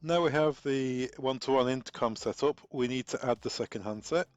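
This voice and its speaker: A man's voice: mid-range voice, normal speed, clear, resonant tone, no room sound, dull audio. A man presenting an unscripted technical tutorial, informally talking through each step aloud as he goes. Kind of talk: unscripted step-by-step software walkthrough.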